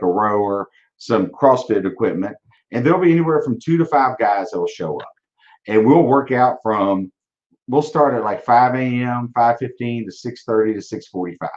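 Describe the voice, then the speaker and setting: A man's voice: medium pitch, darkish tone, highs cut off. A man in his forties giving casual interview answers in a blunt, driven way, often repeating words as he restarts. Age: 40s. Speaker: auto dealership leader, guest.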